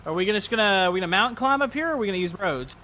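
A man's voice: high-pitched, expressive, rapid-fire, pushed and bright, poor audio. A man in casual multiplayer gaming chat, giving his group directions over game vehicle noise. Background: game vehicle sounds.

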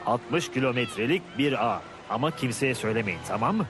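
A man's voice: medium pitch, expressive, fairly quick, music in the background, lightly acted. A man voicing a boastful, hot-tempered fishing captain in a dubbed educational cartoon, brash and blustering.

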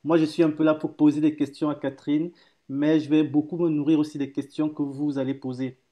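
A man's voice: on the high side, flat delivery, a little fast, highs cut off. A man in his thirties hosting a live video call, cheerful and hesitant, stumbling over repeated words and fillers. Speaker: host. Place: home office room.

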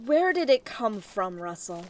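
An actress playing an anxious, gentle professor's wife, halting and fearful, in an old-style radio drama. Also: female voice, mid-range voice, big pitch swings. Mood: uneasy, afraid. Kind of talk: retro 1930s radio drama acting.

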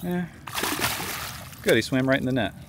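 A man's voice: medium pitch, lively intonation, normal speed, noisy background. A man guiding a fishing charter from a boat, hyped and laughing as fish come in, swearing now and then. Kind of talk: excited on-the-water banter. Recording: music playing behind voice.

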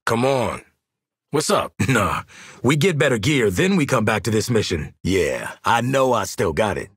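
Casting: cocky American marksman for an action game, raspy, forceful, wide swings in pitch, brash and wisecracking. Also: dry recording, clean studio sound, full character voice. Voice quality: gravelly, raspy. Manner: brash, slangy, confident. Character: tough, cocky, wisecracking male marksman mercenary. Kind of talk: video game combat voice lines.

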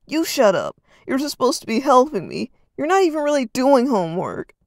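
Whiny younger teen brother, read by the narrator, high and gravelly at the edges. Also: normal speed, no room sound, studio quality, lightly acted. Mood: angry, shouting. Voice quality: whining, high and pleading. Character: whiny hurt teenage boy, younger brother.

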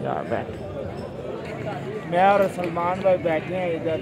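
A young man talks into a phone held at arm's length as he strolls a night market. His voice sits a little high and chatty. A thick crowd hum fills the street behind him. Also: big pitch swings, unhurried. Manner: chatty, calls out to his friend while walking. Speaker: vlogger. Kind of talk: casual walking vlog. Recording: busy crowd noise behind. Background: crowd chatter and traffic.

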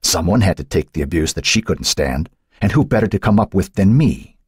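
A man reading the part of a cold, sly woman who is a hidden second personality, speaking in a husky voice. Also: low-pitched, expressive, a little fast, no room sound, clean studio sound, exaggerated voice. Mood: taunting. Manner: taunting, sly, icy.

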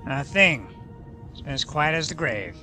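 Man as jumpy crewman on watch, lively intonation, audio drama, clear music behind. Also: on the high side, normal speed, exaggerated voice. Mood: drowsy, easygoing. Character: easygoing, jumpy spaceship crewman on watch duty.

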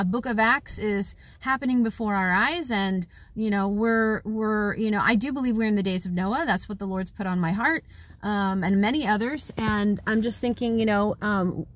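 A woman in her forties talking to camera from a parked car, tired and heartfelt, rambling with many restarts, recorded on a phone held at arm's length. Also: on the high side. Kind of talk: casual talk to camera.

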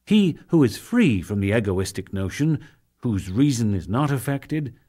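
A man narrating a sacred text as a dramatic, formal reading, solemn and measured in his declamation. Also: mid-range voice, very animated, dark tone.

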